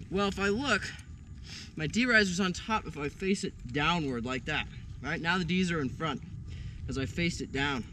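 Young man, instructor, high voice, energetic, outdoor field, faint wind. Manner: energetic, punchy "bam!" exclamations. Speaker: paramotor instructor. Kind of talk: hands-on outdoor demonstration. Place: open gravel field outdoors.

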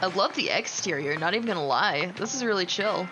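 A woman's voice: on the low side, expressive, brisk, over music, poor audio. A woman chatting casually while she plays a video game, reacting with enthusiastic, gushing exclamations. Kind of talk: casual gaming chat and reactions.

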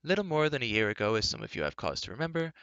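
Old storybook enchanter voiced by a man: grave, curt, measured, tense bright edge, slightly lo-fi. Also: medium pitch, very animated, clean tone, no room sound. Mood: grave, urgent. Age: older figure, played. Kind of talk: fantasy audiobook character voice.

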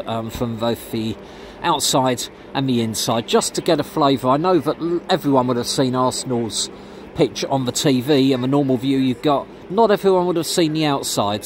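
A man giving a casual walking commentary as a vlogger, talking with lots of ums while he films on his phone around a big football stadium. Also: mid-range voice. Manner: chatty with many ums. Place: outdoors and inside a large football stadium. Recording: noisy outdoor stadium recording.

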